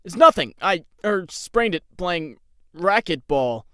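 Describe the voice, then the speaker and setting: An anxious young superhero, played by a male voice actor, boyish and earnest. His voice sits a little high, with dramatic comic dub delivery. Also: big pitch swings, takes it slowly, fairly bright tone, clear, resonant tone, heavily acted. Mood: surprised, uneasy.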